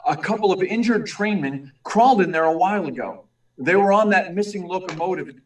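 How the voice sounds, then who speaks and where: A man plays an earnest young reporter pressing his case in an old radio play. His voice is high for a man and urgently insistent, heard a little away from the mic in an echoey room. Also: animated, a little fast, recorded from a distance, slight background noise. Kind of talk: 1940s radio drama acting.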